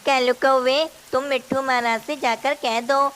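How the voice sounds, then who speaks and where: A woman's voice: high-pitched, steady tone, measured pace, fairly bright tone, slight background noise. A woman voicing a clever, wary male parrot in a children's cartoon, firm and composed. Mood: calm, firm. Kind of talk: Hindi children's cartoon dialogue.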